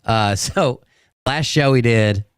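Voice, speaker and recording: A man hosting his own live show, chatting casually and rambling, his voice hoarse and fading from a sore throat. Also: mid-range voice, slow pace, no room sound, studio quality. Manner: rambling, self-mocking. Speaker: host. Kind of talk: casual live-stream talk.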